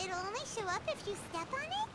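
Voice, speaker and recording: A woman voicing a tiny, childlike fairy companion in a video game, squeaky, excitable and earnest, speaking in exclamations. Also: quick pace, heavy background noise. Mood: indignant. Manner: exclaims, refers to self in third person. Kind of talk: scripted video game voice acting.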